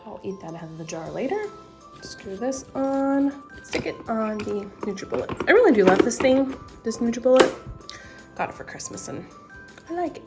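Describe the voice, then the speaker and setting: A young woman vlogging casually from home, her voice raspy and hoarse from being sick, chatty and upbeat. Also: a little high, animated, normal speed, over music. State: hoarse from illness. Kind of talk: casual recipe vlog. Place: living room at home, indoors.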